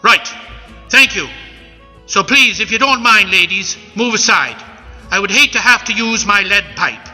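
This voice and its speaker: An actor playing a pompous, bumbling pirate swindler in a comic radio play. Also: male voice, sits high, lively intonation, a little slow, pushed and bright, full character voice. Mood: menacing, blustering. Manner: pompous, melodramatic threats, bumbling. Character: blustering villainous pirate swindler with muddled accent. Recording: echoey event hall, music behind.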